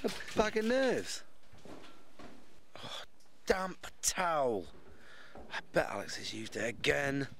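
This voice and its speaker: A man's voice: a little high, very animated, slow, vocal fry, long pauses, fairly bright tone, slight background noise, full character voice. An actor playing an anxious, irritable young British office worker voicing his rambling, self-questioning inner monologue in an audio drama. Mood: exasperated.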